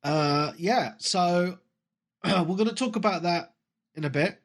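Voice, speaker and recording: A man near forty hosts a casual gaming podcast, sounding bunged up from a head cold. His voice sits a touch high for a man, and he chats along in a loose, self-mocking way, filling pauses with ums. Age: 30s. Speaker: podcast host.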